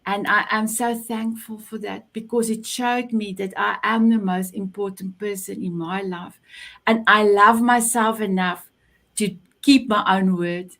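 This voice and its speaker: A woman's voice: medium pitch, fairly even intonation, measured pace, a bit bright. A South African woman whose first language is Afrikaans, hosting an online show over a video call and gushing with praise. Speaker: show host.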